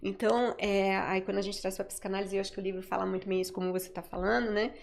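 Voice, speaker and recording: A woman's voice: mid-range voice, flat delivery, quick pace, creaky. A woman with a Brazilian Portuguese accent, hosting an interview conversationally, rephrasing ideas as she explains them. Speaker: interview host. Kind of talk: conversational discussion.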